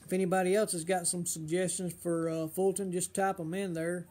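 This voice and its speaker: A middle-aged man, slightly hoarse, chatting casually on a fishing livestream and giving advice with lots of uh and um. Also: high voice, level tone, fairly quick, darkish tone. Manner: rambling, full of uh and um fillers.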